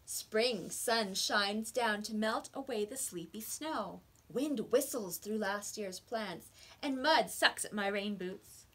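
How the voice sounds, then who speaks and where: A woman reading picture books aloud to young children like a teacher, with expressive emphasis on the words. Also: mid-range voice, slow pace, a little hiss or hum, rough recording.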